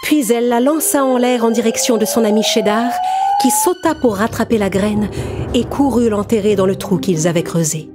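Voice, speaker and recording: A woman reading scripted narration for an animated children's story. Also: fairly high pitch, moderate pace. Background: music.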